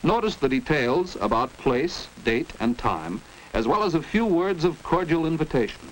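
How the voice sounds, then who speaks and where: American guy narrator, 1940s school film, teachy and crisp diction, up and down intonation, bit of noise. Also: on the high side, sing-song, normal speed. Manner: didactic, precise enunciation. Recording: noisy 1940s educational film soundtrack.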